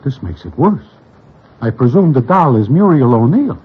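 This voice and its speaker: An actor playing a wary small-time New crook who narrates a 1940s radio drama in stilted, formal gangster slang. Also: male voice, medium pitch, sing-song, moderate pace, breathy edge. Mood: uneasy, curious. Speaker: narrator and character. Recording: dull vintage radio recording, music behind.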